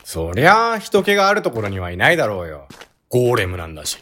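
Animated, casually know-it-all young man. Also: mid-range voice, fast. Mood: matter-of-fact. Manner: casual, matter-of-fact explaining. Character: laid-back, know-it-all young male monster-spotting enthusiast. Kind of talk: comic radio drama dialogue.

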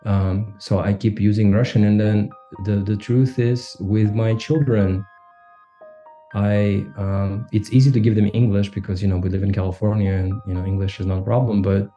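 Man, from Kazakhstan, low voice, mellow tone, halting speech, frequent restarts, podcast co-host. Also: steady tone, muffled recording, faint music behind. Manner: halting, with many restarts and "right?". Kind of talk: candid conversational reflection.

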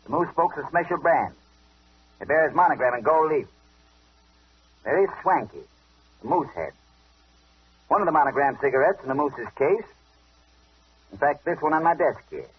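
An actor playing a tough, sly older police inspector in a 1940s radio detective drama, taunting and commanding in clipped speech. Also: male voice, fairly high pitch, sing-song, moderate pace, pauses often, slight background noise. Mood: sly, deliberate. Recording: dull old radio broadcast recording.

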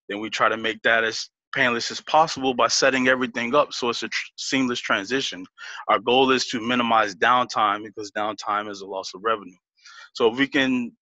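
Bright-voiced man, dry close sound. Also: on the low side, no room sound, poor audio. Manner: laughs easily, restarts words, explains step by step. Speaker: business guest. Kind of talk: casual interview talk.

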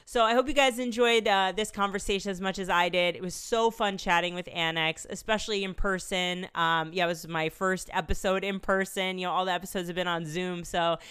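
Brief: young woman podcast host, slightly congested, chatty and upbeat, very clear voice. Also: fairly low pitch, steady tone, brisk, hardly any pauses, a bit bright. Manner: chatty, upbeat, laughs between phrases. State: congested, recovering from a cold. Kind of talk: casual podcast chat and solo outro. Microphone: studio microphone.

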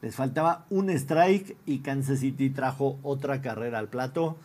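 A man's voice: mid-range voice, measured pace, a little mellow. Mexican man hosting casual sports talk. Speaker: sports show host. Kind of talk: casual sports panel chat, slangy.

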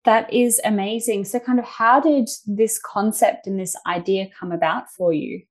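A woman's voice: medium pitch, moderate pace. A woman hosting an interview in a conversational, effusive and encouraging manner. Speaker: interviewer, host.

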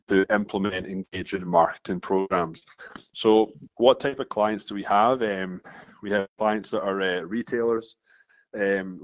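Low-voiced Scottish man, muffled dry sound. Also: dry recording. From Scotland. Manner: restarts phrases often, steady explaining flow. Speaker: founder of a marketing agency, guest. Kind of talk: casual interview talk. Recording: dull muffled dry recording.

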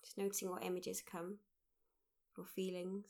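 Creaky-voiced woman guiding meditation. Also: on the low side, monotone. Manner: gentle, unhurried, pausing between short phrases. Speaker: meditation guide, workshop leader. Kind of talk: guided mindfulness meditation.

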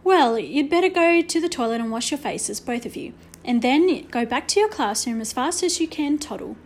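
A woman voicing a flustered, old-fashioned schoolteacher in a storybook, with a quaintly brisk, exclamatory manner. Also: high voice, expressive.

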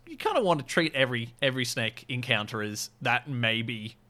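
A man's voice: medium pitch, expressive, a little fast, sharp tone. A man with an Australian accent co-hosting a podcast, chatting and telling stories in a wry, joking, rambling way. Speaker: podcast co-host. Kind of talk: casual comedic chat and storytelling.

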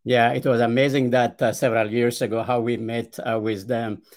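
A man's voice: mid-range voice, little pitch movement, a little mellow. Ethiopian-accented man telling his story. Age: middle-aged. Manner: hesitant, frequent "uh" pauses and repeated starts. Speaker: podcast guest. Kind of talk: conversational storytelling.

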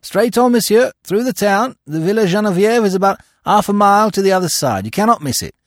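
A man doing a high, brisk helpful local. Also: lively intonation, dry recording, studio quality. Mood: obliging. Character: helpful small-town local giving directions.

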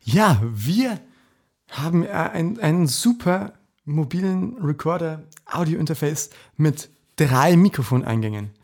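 A man's voice: a little high, big pitch swings, unhurried, vocal fry, darkish tone. A young man co-hosting a casual podcast on a close condenser mic, dryly ironic and agreeable. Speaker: podcast co-host. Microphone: close condenser microphone.

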